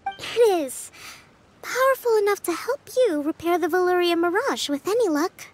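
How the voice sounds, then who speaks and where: A woman's voice: very high-pitched, animated, normal speed, a little hiss or hum. A woman playing a composed, kindly young priestess telling lore in a scripted video game scene. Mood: encouraging.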